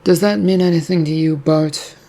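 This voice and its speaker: A man's voice: on the high side, steady tone, moderate pace, dark tone, pitched above the performer's own voice, natural voice. A man voicing a curious woman investigator. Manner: probing questions. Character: curious female paranormal investigator partner.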